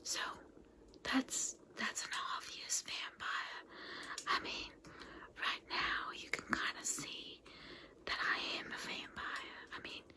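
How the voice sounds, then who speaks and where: A woman's voice: slow, pushed and bright. A woman playing a chatty vampire saleswoman in a comedic ASMR roleplay, whispering breathily with rambling, sweetly patronizing endearments. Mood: matter-of-fact, confiding. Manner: rambling, folksy endearments, confiding. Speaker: consultant selling vampire transformations.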